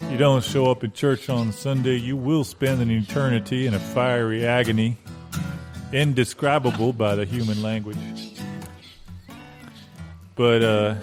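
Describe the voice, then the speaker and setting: A man improvising a folksy preacher who deadpans hellfire and used car deals in a parody radio ad. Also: lightly acted. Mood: solemn folksy pitch. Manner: homespun, deadpan mix of sermon and sales pitch. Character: folksy fire-and-brimstone Baptist preacher selling used cars. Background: guitar music.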